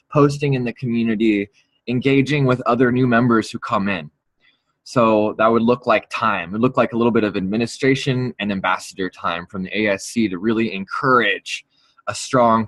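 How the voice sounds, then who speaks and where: A man's voice: on the low side, fairly bright tone, dry recording, poor audio. A man hosting a business meeting, laying out a partnership proposal point by point in an earnest way. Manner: earnest, explains step by step. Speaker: meeting host.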